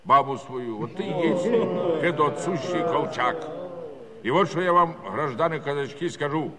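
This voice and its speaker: An actor playing a folksy, self-important Cossack village judge who speechifies in garbled rustic officialese with a southern Russian Don dialect. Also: male voice, on the high side, normal speed, crowd noise, close to an everyday voice. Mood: lecturing. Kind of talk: theatrical musical comedy monologue. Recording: reverberant 1970s studio recording over music.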